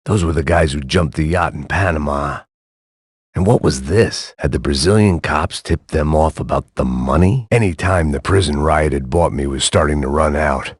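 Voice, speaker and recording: A world-weary middle-aged ex-cop narrates a noir video game in a bleak, sardonic deadpan. His voice sits extremely low and sounds husky and gravelly. It is recorded dry and close, with no room sound. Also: dry recording. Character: world-weary, hard-drinking male ex-cop antihero.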